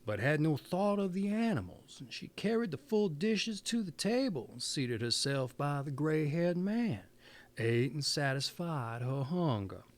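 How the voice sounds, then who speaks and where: A man's voice: a little high, sing-song, a little slow. A man reading a fairy tale aloud expressively as a storyteller.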